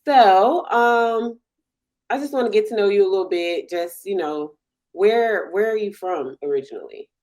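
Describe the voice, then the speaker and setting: A woman's voice: medium pitch, moderate pace, a little mellow. A woman hosting a podcast, chatting casually in a folksy, colloquial way. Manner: folksy, colloquial, frequent backchannels. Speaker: podcast host. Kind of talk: casual chat.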